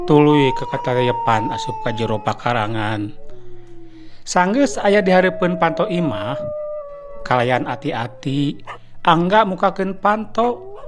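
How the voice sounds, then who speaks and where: Sundanese man telling a dramatic radio tale. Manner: dramatic, rising pitch on suspense. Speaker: storyteller.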